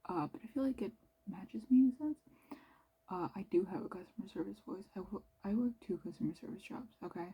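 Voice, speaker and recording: A young Canadian woman with a low-ish voice, chatting quietly and rambling about her reading, with plenty of "like". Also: a little fast, husky, rough recording. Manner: talking quietly, near-whispered; rambling, filler-heavy with "like". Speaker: book vlogger. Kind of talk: casual chat.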